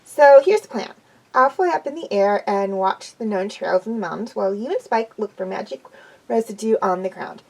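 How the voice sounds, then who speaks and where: A woman's voice: medium pitch, animated, normal speed, gravelly, low-quality recording. A woman voicing a brash tomboy pony mare. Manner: bossy, boastful.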